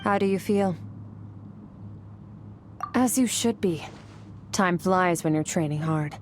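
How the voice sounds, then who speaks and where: A woman voicing a stern, composed female swordmaster who coaches her student in a calm, measured, instructive way. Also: fairly low pitch, a little hiss or hum. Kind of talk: video game voice acting.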